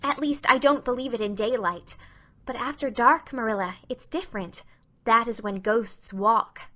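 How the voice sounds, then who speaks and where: Storybook heroine: imaginative, easily frightened young girl, impassioned and romantic, high and bright played by a woman. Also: normal speed. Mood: earnest, dreamy. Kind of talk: dramatic audiobook character reading.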